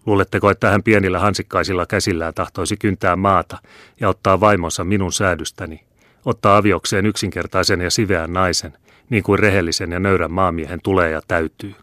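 A man's voice: deep voice, fairly even intonation, fairly bright tone, no echo, clean studio sound. A man voicing a proud, wrathful old peasant patriarch who declaims sternly and thunders in anger. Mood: scornful.

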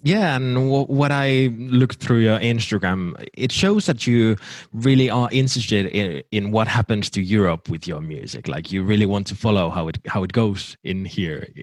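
A man's voice: fairly low pitch, normal speed, no echo. A young man with a Finnish accent interviewing a singer, polite and hesitant with many fillers. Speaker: radio host, interviewer. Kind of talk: interview questions in English.

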